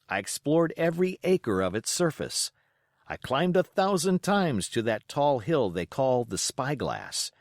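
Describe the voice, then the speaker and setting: A man reads a scripted story as an audiobook narrator. His delivery is measured yet expressive, with lively pitch movement and a dry, close sound. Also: dry recording.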